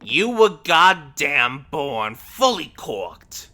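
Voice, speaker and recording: A man plays a gruff, scornful crewman aboard a doomed space freighter in a game dub. His voice is very sharp and forceful, with slow, blunt lines that swing widely in pitch. Sarcasm runs through his delivery. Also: very animated, a little hiss or hum, heavily acted. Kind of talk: video game fan dub acting.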